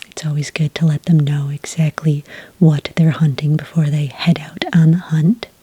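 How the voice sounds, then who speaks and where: A woman speaking in a whispery, breathy soft voice, calm and methodical, as an agent in an ASMR roleplay, close to the microphone. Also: sits low, no room sound. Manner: calm, methodical questioning with gentle pauses. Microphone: close microphone in a dry room.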